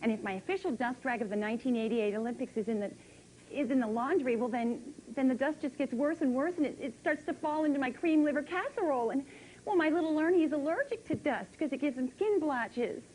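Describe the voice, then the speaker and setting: A woman's voice: high voice, fairly quick, darkish tone, slight background noise, natural voice, low-quality recording. A woman playing a fretful, rambling neighbor lady. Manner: rambling, stammering with nervous restarts. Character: fretful middle-aged neighbor woman, endlessly worried. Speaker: comic character on a kids' game show.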